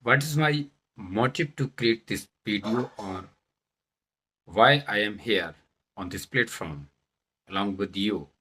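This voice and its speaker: A man from central India, a native Bundelkhandi speaker, presenting in Indian-accented English with deliberate, halting phrasing and stressed words, as in a self-introduction video. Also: fairly low pitch, pushed and bright, slightly rough. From Madhya Pradesh, India. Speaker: vlogger, English learner turned presenter. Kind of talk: self-introduction talk to camera.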